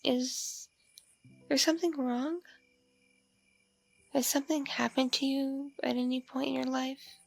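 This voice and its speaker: A woman playing a lonely, flirtatious vampire neighbor in an intimate ASMR roleplay, speaking in a breathy, creaky murmur with hesitant, drawn-out pauses. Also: high-pitched, little pitch movement. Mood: gently probing. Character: lonely, flirtatious, awkwardly polite pale vampire woman next door. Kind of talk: ASMR roleplay, intimate one-sided dialogue.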